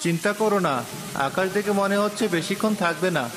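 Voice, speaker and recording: A man's voice: high-pitched, fast, noisy background, close to an everyday voice. A man voicing a wisecracking but helpful, easygoing village husband in a children's cartoon. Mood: reassuring. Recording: long reverb, music behind.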